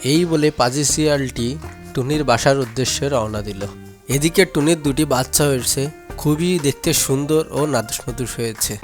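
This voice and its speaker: A man's voice: mid-range voice, normal speed, faint music behind. A man narrating a scripted children's animal story.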